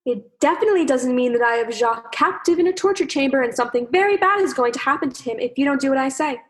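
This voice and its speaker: Girl doing a pushy threatening lady fish for a radio play, really high voice, insistent. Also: lively intonation, fast talker. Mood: menacing. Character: indignant, menacing female fish, secretly married to a human. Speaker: drama student acting.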